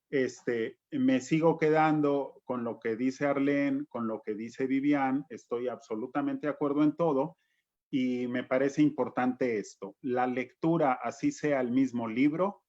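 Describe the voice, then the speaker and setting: A man's voice: medium pitch, darkish tone. A man with a Mexican accent talking reflectively and anecdotally on an informal panel, as a cultural director. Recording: dull lo-fi video-call audio.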